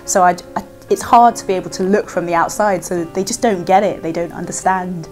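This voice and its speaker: A woman's voice: lowish voice, a little fast, a little mellow, soft background music. A woman from Trinidad, raised in London and now a poet, telling her story in a thoughtful, playful way. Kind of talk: personal testimony.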